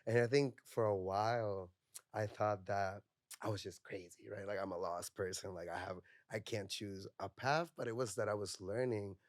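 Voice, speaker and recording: Soft-spoken low-voiced man, reflective. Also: moderate pace, dry recording, clean studio sound. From Puerto Rico. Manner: reflective, heavy use of "like" and "you know". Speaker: podcast guest, mental health counselor. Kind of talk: candid personal conversation.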